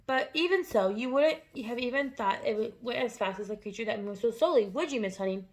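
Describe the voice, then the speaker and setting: Woman reader doing a bright little girl, high and loud, breathless pleading. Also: brisk, natural voice. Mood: eager. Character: anxious excitable young girl, clever.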